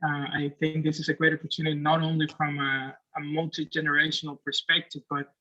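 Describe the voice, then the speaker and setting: Male panelist, twenties, native Spanish speaker with Dominican accent, slightly high voice, a bit lo-fi. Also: flat delivery, fast, pushed and bright. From Dominican Republic. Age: 20s. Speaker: human rights lawyer on a panel. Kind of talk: introducing himself in a discussion.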